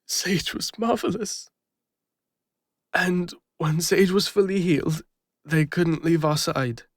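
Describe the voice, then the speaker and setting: A man with an English accent playing a regretful fantasy adventurer and healer, confiding haltingly in an intimate audio roleplay. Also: sits high, no echo, clean studio sound. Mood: wistful, tender. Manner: hesitant, confessional, halting with pauses.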